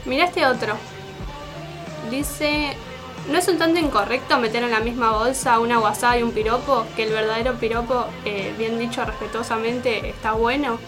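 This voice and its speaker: An actress plays a young Argentine student in a radio play, speaking in a high voice over clearly audible music. She is colloquial, sarcastic and easily exasperated. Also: steady tone, moderate pace, close to an everyday voice. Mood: casual. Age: young adult. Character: indignant young female student venting to a friend. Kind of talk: naturalistic radio drama dialogue.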